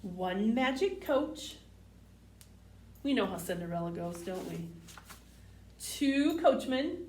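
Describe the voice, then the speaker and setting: A woman's voice: a little high, very animated, slow, darkish tone. A woman hosting a children's storytime, reading picture books aloud expressively and putting simple questions to young listeners. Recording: roomy, distant mic, faint background noise.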